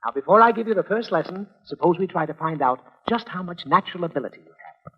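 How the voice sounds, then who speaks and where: A man's voice: high voice, expressive, quick pace, breathy edge. An actor playing an exasperated, long-suffering father in an old-time radio comedy, pleading theatrically. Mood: wry exasperation. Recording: muffled vintage radio recording.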